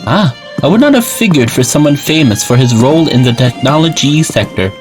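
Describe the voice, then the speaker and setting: A man's voice: mid-range voice, lively intonation, brisk, over music, natural voice. A man playing a polished, formal salesman who is eager to sell, in an audio drama. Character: polished male salesman pitching a luxury survival bunker.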